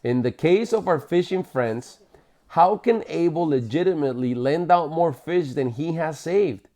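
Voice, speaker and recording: A man's voice: mid-range voice, mellow. A man reading a book aloud like a parent at bedtime, in an explanatory way with occasional self-corrections. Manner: explanatory, stumbles and corrects himself while reading. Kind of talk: bedtime read-aloud of a book, with casual asides.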